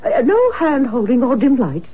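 Very high-voiced spirit medium. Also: female voice, wildly swooping pitch, moderate pace, slightly rough, exaggerated voice. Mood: gently assured. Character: sensitive female spirit medium, solemn, eerie in trance. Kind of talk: vintage radio drama acting. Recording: muffled, noisy old radio broadcast.

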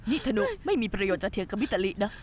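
A woman's voice: mid-range voice, lively intonation, rapid-fire, a little mellow, slight background noise, natural voice. An actress dubbing a scheming young woman who urgently coaxes and scolds her friend in a TV drama. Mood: coaxing. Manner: urgent, coaxing. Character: scheming young woman, calm coaxing accomplice. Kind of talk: dubbed TV drama.

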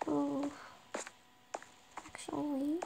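A high-voiced woman with a raspy, gravelly edge narrates her game slowly and hesitantly, filling gaps with little pauses. She talks to herself as she plays. The recording carries a clear layer of background noise. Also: flat delivery. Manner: slow, hesitant, lots of ums, talks to herself while building. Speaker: Minecraft roleplay player. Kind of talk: casual gameplay narration. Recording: noisy background.

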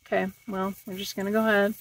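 A woman's voice: mid-range voice, level tone, fast, poor audio. Chatty woman reviewing gear outdoors. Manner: chatty, musing aloud while eating. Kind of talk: casual product review vlog. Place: outdoors in a grassy meadow.

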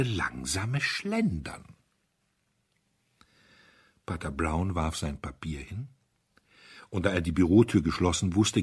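A man narrating a mystery story from a script in an expressive, dramatic storytelling manner. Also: on the low side, husky. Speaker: audiobook narrator.

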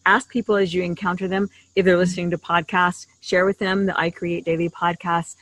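A middle-aged woman with a slightly low voice speaks as a podcast co-host. She offers advice in a casual, conversational style, with little echo around her voice at an outdoor table microphone. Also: level tone, brisk, a bit bright. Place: outdoors in a leafy garden. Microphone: shared desktop condenser microphone at table distance.

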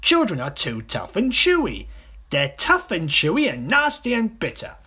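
A smaller, disapproving crocodile from a children's storybook , voiced by a man. The voice is pushed up high and leaps about in pitch. It scolds and protests with fussy, indignant emphasis. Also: wildly swooping pitch, moderate pace, pushed and bright, slightly rough, exaggerated voice. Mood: disgusted.

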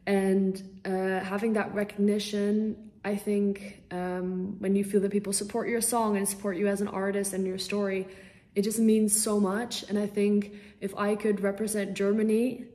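A young woman from the Netherlands talks about her music over a laptop call from a small room at home. Her voice is mellow and soft, and her answers come out bubbly and eager, dotted with ums. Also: mid-range voice, flat delivery, moderate pace. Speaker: singer-songwriter guest. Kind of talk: casual interview answers.

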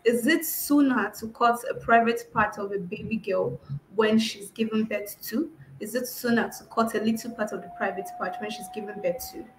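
A woman hosting an interview on a video call. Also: mid-range voice. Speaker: host. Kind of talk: hosting a live-stream interview. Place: indoor room, on a video call. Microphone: video call device mic. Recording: echoey room, noisy video call audio.

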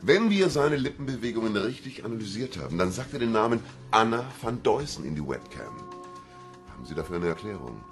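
A low-voiced, probing police inspector. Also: male voice, very animated, fairly quick, faint music behind. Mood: serious, urgent. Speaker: actor playing police commissioner. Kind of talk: German radio crime drama acting.

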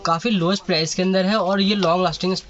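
A man who runs a wholesale shop in a market, speaking. He keeps up quick, persuasive sales patter and repeats his prices. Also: sits high, fairly even intonation. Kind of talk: sales pitch to an interviewer. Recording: dull lo-fi sound, loud market crowd.

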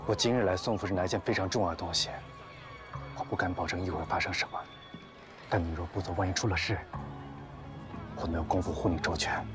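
A man's voice: low voice, steady tone, fast, pauses often, lightly acted. A young actor playing a proud, guarded young nobleman, curt and commanding. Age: young adult. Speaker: lead actor. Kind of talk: television period drama acting. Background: music score.

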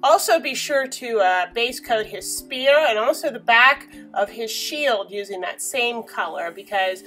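A young woman, slightly hoarse from a cold, chatting casually through a painting tutorial in a small bedroom, recorded on the camera mic with many fillers. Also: fairly high pitch, expressive, measured pace, sharp tone, faint music behind, rough recording.

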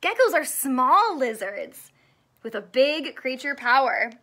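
A woman in her thirties with a high-pitched voice reads a picture book to young children. She takes it very slowly, stretching key words and putting questions to her listeners like an expressive teacher. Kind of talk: animated read-aloud of a children's book. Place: small indoor room with couch and stuffed toys.

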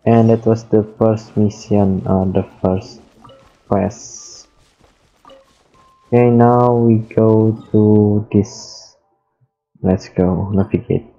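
Slow, low-voiced man gaming. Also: steady tone, mellow. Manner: halting, simple short remarks. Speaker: gaming video host. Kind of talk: casual let's play commentary. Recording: dull microphone, faint game music.

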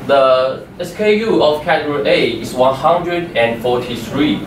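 Young man presenting in an echoey room. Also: fairly high pitch. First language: Chinese. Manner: halting, repeats words while explaining slides. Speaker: student presenter. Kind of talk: class project presentation. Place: classroom with a projector screen, indoors. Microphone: far room microphone. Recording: echoey room from far off, noisy.

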